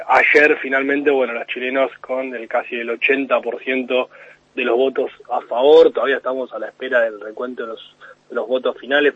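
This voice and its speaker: A man explaining news analysis to a radio host as correspondent, steady and with frequent restarts. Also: mid-range voice, dry recording. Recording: phone-line call into radio.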